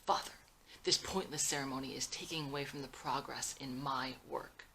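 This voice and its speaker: A woman acts out an embittered villain son from an adventure script. The voice is sharp and forceful, the manner arrogant and contemptuous, with faint noise in the background. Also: a bass voice, moderate pace.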